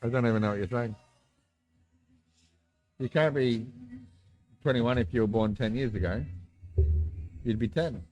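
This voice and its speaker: A man's voice: mid-range voice, unhurried, long pauses, a little mellow, low-quality recording. A drunk man in his twenties teasing his friend bluntly and swearing in casual vlog banter. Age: 20s. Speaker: vlog co-host.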